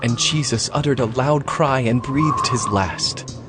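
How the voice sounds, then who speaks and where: A man narrates a dramatized scripture story at a middle pitch, solemn and scripted in style. Clear background music runs behind his voice. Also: measured pace.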